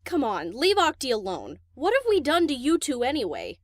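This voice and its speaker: A woman's voice: high-pitched, huge pitch swings, normal speed, dry recording, studio quality. A woman playing a brash, awkward young DJ pony in an audio drama, with an informal, blurting delivery. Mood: defensive. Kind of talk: audio drama character acting.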